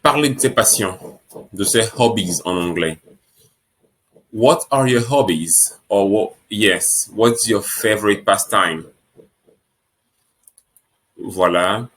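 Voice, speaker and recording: Slow, low-voiced male English teacher. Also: a bit bright. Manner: slow, repeats each phrase in French and English. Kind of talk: French-language English lesson.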